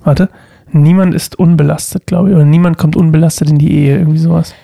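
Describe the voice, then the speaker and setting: A man's voice: on the high side, steady tone, quick pace, creaky, dark tone, dry recording, clean studio sound. A young man co-hosting a casual podcast with his wife, joking and rambling while he has a cold and a stuffy nose. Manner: joking, rambling, self-interrupting. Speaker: podcast co-host. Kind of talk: casual couple chat.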